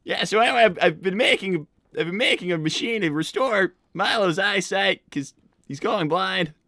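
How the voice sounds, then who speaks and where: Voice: medium pitch, bright, forceful tone, a little hiss or hum, natural voice. A performer voicing an awkward young male fox who stammers and restarts his sentences, in improvised live character roleplay. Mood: flustered. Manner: stammering, halting, with many restarts. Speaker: character voice actor on a live stream.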